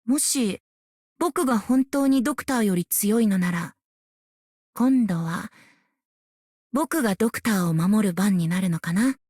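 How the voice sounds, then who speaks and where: A woman's voice: mid-range voice, expressive, brisk, long pauses, a little mellow, no echo, studio quality, close to an everyday voice. A woman voicing a youthful, proud and earnest soldierly operator in scripted game lines. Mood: earnest, hopeful.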